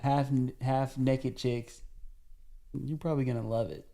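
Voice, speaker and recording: A man's voice: medium pitch, fairly even intonation, unhurried, gravelly, dark tone. A young man co-hosting a podcast in casual chat, laid-back and rambling, restarting sentences and swearing casually. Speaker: podcast co-host.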